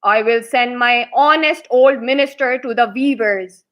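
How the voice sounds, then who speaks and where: A woman's voice: on the high side, measured pace, fairly bright tone. A woman voicing a vain, self-assured emperor in a children's fairy tale. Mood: musing.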